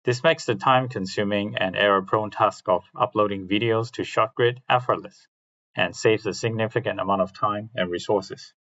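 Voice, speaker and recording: A man's voice: on the low side, steady tone, slow, fairly bright tone. A man giving a scripted technical presentation as a technical artist, on a slightly dull recording.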